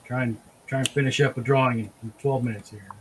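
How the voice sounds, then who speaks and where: Male host, average pitch, casual conversational delivery, slight noise behind him. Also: fairly even intonation, fast talker. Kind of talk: casual chat. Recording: faint background noise.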